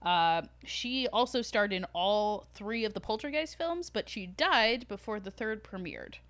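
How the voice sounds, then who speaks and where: A woman's voice: medium pitch, lively intonation, measured pace, fairly bright tone. Casual woman podcast host telling stories. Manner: informal, giggly, swears freely. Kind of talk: casual conversational storytelling.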